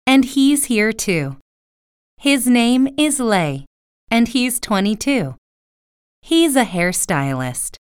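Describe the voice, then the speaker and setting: A woman voices Ming, a bride in her twenties, telling about her wedding day. Her voice is smooth and clear with a lively melody. She speaks slowly in a dry, close recording. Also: fairly high pitch, dry recording. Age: 20s. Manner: clear, measured storytelling. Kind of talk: scripted reading passage.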